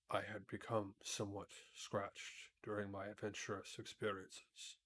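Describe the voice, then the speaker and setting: A low-voiced, courtly emperor made of tin, acted out by a man reading aloud. He is kindly but rather pompous, choosing formal words with measured dignity, as a storybook ruler would. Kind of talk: storybook character read aloud.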